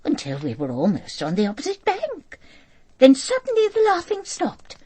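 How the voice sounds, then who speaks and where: A woman's voice: high voice, wildly swooping pitch, normal speed, mellow. An actress playing a polite, hesitant woman author giving her account as a witness in a radio drama. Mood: careful, recollecting. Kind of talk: naturalistic radio drama acting.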